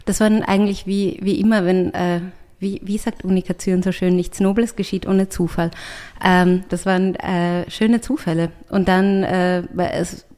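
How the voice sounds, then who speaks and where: A woman, a writer and artist, talking as an interview guest, thoughtful and searching for words, with frequent pauses and restarts. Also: on the low side, little pitch movement, darkish tone. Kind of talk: reflective conversational interview.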